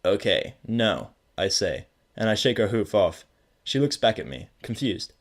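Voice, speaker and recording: Low-voiced young man, first-person storyteller, dry and sarcastic delivery. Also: big pitch swings, normal speed. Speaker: narrator. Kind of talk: first-person audiobook narration.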